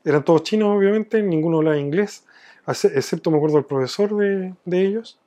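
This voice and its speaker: A man's voice: high voice, brisk, mellow. A man from Chile with a Chilean accent, explaining things from his own experience in a conversational interview. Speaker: business owner being interviewed.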